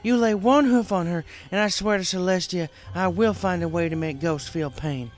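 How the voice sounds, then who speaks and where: A fiercely stubborn female farm pony from a story, acted by a male narrator. The voice is pushed higher and sounds firm, protective and threatening, as if standing up to a foe. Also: moderate pace, soft background music, lightly acted. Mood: angry.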